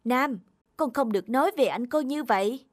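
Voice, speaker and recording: An actress playing a gentle, patient young mother in a children's cartoon, tender and encouraging. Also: on the high side, normal speed, dry recording, studio quality, lightly acted. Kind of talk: Vietnamese children's cartoon dubbing.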